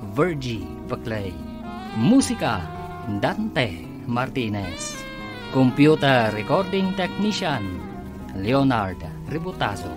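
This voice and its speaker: A man with a Filipino accent announcing and narrating a scripted radio drama in a dramatic style. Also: medium pitch. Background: music.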